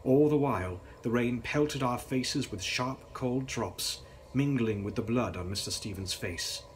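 A man's voice: mid-range voice, measured pace, slight background noise. A young man reading a gothic novel aloud in a grave, theatrical, dramatic style. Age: young adult. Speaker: author reading his novel.

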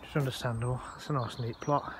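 A man's voice: mid-range voice, steady tone, fast talker. A man chatting informally and rambling as he narrates a casual walk-around vlog on a handheld phone outdoors. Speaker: allotment vlogger. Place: outdoors on an allotment, walking. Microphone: handheld phone held while filming. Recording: noisy outdoor phone recording.

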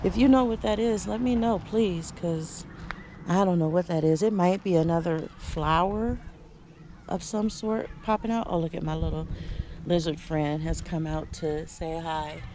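A woman strolls through her backyard garden and chats about her plants in an unhurried, relaxed way. Her voice is on the lower side and mellow, picked up by the camera she carries outdoors, with a little faint noise around it. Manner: chatty and relaxed, many fillers and restarts. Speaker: home gardener giving a garden tour. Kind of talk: casual walking garden tour.

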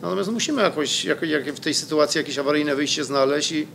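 A man's voice: a little high, quick pace, creaky, a bit bright, slight background noise. A middle-aged man, a football coach, answering reporters' questions at a press conference in a hesitant way, with many fillers and restarts, into a desk microphone. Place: press room in front of a sponsor wall.

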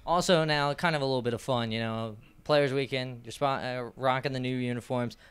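Young man hosting, crisp voice. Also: medium pitch, fairly even intonation, brisk. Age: young adult. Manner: upbeat, long rambling questions. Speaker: postgame interview host. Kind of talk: sports interview. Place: small indoor press backdrop. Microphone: handheld mic.

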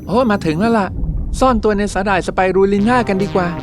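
A woman's voice: medium pitch, quick pace, lightly acted. A woman voicing a brave young fish hero in a dubbed cartoon, urgent and bossy. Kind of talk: cartoon voice acting. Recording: music bed under voice.

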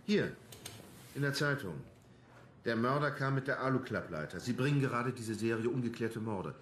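An actor playing a gruff, combative, embittered police detective in a radio crime drama, talking bluntly and coarsely. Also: male voice, medium pitch, animated, normal speed, a bit bright, lightly acted. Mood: bitter, agitated. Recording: roomy sound with faint noise.

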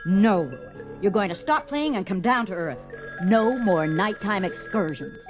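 A woman's voice: mid-range voice, animated, unhurried, lightly acted. An actress playing an exasperated, practical wife and mother, scolding her husband in a dramatised story record. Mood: scolding, upset. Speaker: actor. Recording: dramatised record with music behind.

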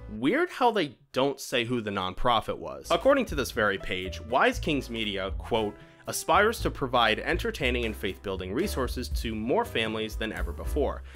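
A man's voice: fairly low pitch, fairly bright tone. A young man narrating a scripted video review in a casual way with wry asides, close to a studio microphone. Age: young adult. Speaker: YouTube reviewer. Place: small home room, indoors. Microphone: close studio microphone on boom arm.

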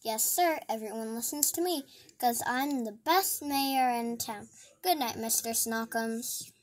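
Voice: medium pitch, a little slow, natural voice. A child voicing a pompous, bossy mayor who boasts about his power, in a storybook reading. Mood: boastful. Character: pompous bossy mayor, self-important man.

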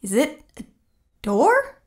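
A woman's voice: high voice, huge pitch swings, slow, heavily acted. A woman acting in an audio drama as a curious, headstrong, playful woman exploring a house. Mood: curious, breezy.

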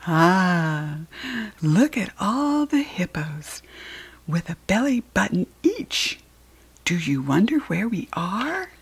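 Children's story reader: American woman, breathy, unhurried delivery with a lively swooping pitch. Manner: expressive, addressing small children. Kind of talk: read-aloud storytelling for young children.

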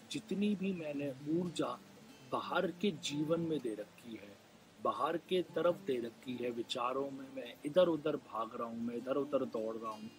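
A man with an Indian accent leads a live guided meditation in a gentle, soothing manner, leaving long pauses and repeating phrases. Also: mid-range voice, poor audio. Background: background music.

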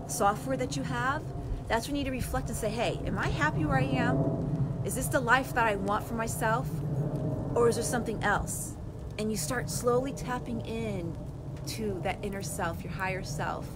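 A woman in her forties giving an earnest, animated motivational talk to a phone camera on a lawn outdoors. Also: fairly high pitch, background noise. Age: 40s. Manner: earnest, animated, gestures with both hands. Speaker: life coach vlogger. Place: outdoors on a lawn by bare woods. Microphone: phone camera at arm's length.

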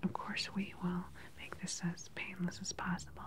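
A woman in her thirties speaks in a soft, breathy whisper close to the mic, gentle, clinical and unhurried, as a doctor in an ASMR exam roleplay. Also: lowish voice, completely flat delivery. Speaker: ASMR performer playing a doctor. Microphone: close ASMR microphone.

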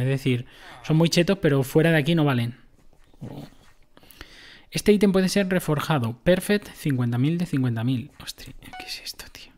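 A man's voice: a little high, a little mellow. A man giving casual commentary on a game, explaining items to his viewers. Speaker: gaming streamer.

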